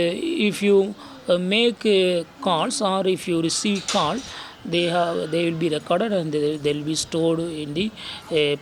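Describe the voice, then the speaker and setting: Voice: medium pitch, a little hiss or hum. A tech tutorial host narrating phone steps one by one, often repeating instructions, recorded outdoors.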